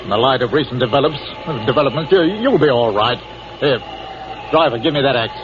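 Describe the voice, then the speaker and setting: An actor playing a wary bus passenger in 1940s radio suspense style, formal, deliberate and dry. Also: male voice, mid-range voice, natural voice. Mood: guarded, dry. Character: measured, dryly wary male stranded bus passenger. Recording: muffled, noisy vintage radio recording.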